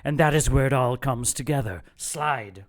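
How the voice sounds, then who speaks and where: An actor playing a fanatical cult leader in an audio drama, lecturing in a measured, contemptuous and gloating way. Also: male voice, mid-range voice, close to an everyday voice. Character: fanatical cult leader brother plotting apocalypse, calm and contemptuous. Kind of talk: audio drama villain monologue.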